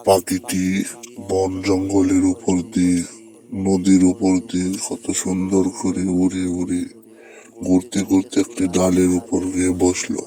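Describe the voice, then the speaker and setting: A man's voice: deep voice, low-quality recording. A man narrating a children's story, speaking to young listeners in simple, repetitive phrases.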